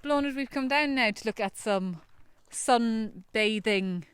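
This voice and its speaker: Smooth-voiced Irish woman interviewer. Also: fairly high pitch, slow pace, no room sound. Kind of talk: walking farm-tour interview. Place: outdoors on a farm.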